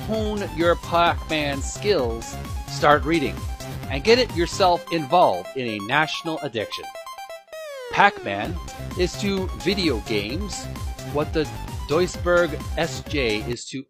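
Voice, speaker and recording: Man reading aloud with very animated pitch. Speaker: host reading a book aloud. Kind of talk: scripted book narration, amateur read-aloud. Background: music.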